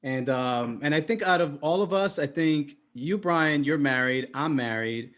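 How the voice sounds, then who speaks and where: A man in his late thirties hosting a casual group chat, relaxed and slangy, asking the others questions. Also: fairly high pitch, a little slow. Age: 30s. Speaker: podcast host.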